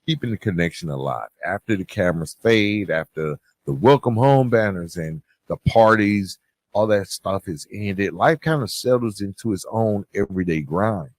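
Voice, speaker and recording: A man hosting a solo podcast, giving advice and encouragement in an earnest, deliberate way with emphatic phrasing. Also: lowish voice, animated, no echo. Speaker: podcast host.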